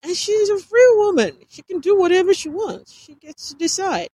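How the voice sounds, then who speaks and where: A woman reading aloud as a cornered man who answers in a quiet, measured way. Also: deep voice, fairly even intonation, vocal fry, husky, no room sound, exaggerated voice, poor audio. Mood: defiant.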